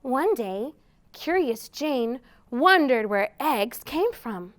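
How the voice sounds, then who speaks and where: Slow, high-voiced woman reading to children. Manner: expressive, simple questions and prompts to children. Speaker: children's storytime host at a library. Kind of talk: storytime read-aloud and chat to young children.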